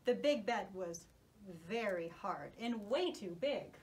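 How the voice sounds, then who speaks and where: A woman narrating a children's story as a puppet show host, animated and reassuring. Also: medium pitch, slow.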